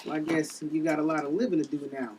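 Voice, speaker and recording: A man's voice: a little high, fairly even intonation, fast talker, darkish tone, lightly acted. Gym trainer male actor at table read: protective flirt, slangy, off-mic in a noisy room. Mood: teasing, flirty. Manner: casual slangy talk. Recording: noisy, distant room recording.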